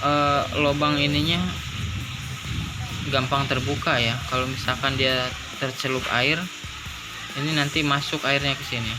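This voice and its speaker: A man's voice: medium pitch, flat delivery, measured pace, pauses often, very noisy. A man giving a casual unboxing commentary, informal and thinking aloud as he goes. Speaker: product reviewer. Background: music.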